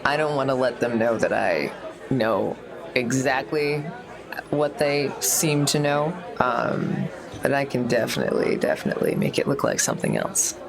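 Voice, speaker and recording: Gravelly dude playing a hacker in an rpg, thinks out loud, laughs a lot, crowd noise behind. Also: male voice, mid-range voice, expressive, moderate pace. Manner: laughs often, thinks aloud. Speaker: player. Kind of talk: casual tabletop play.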